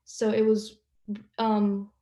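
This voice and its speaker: A young woman answering panel questions earnestly, pausing often to find her words. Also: mid-range voice, completely flat delivery, dark tone, echoey room. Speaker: playwright.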